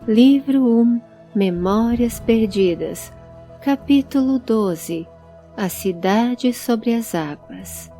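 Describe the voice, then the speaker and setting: Uma mulher narrando uma história em áudio, lendo um texto roteirizado como contadora de histórias. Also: female voice, a little high, lively intonation, extremely slow, music in the background. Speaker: storyteller, narrator. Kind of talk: scripted audio story narration.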